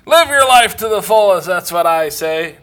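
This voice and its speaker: A man playing a boisterous old man who scoffs at moderation, speaking loud and high. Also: animated, moderate pace, smooth.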